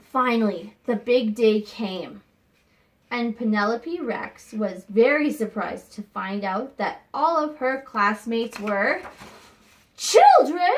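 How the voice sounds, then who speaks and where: A woman teacher reading a picture book aloud to young students, expressive and pausing to think aloud with questions for them. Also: on the high side. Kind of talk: read-aloud lesson with questions to children.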